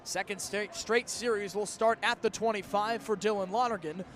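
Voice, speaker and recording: A young man calling live college football play-by-play for radio in crisp, clipped descriptive phrases. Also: high voice, steady tone, moderate pace, a crowd in the background. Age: young adult. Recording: outdoor stadium broadcast with background noise.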